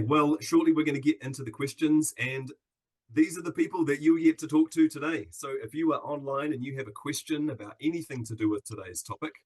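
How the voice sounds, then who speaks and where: A man with a New Zealand accent hosting a live video-call Q&A in an upbeat, chatty way, with plenty of fillers. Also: mid-range voice. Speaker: webinar host.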